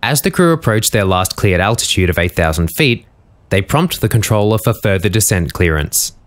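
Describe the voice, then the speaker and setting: A man with a fairly low voice reads scripted narration. He explains events step by step in a documentary style. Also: very animated, moderate pace, a little hiss or hum.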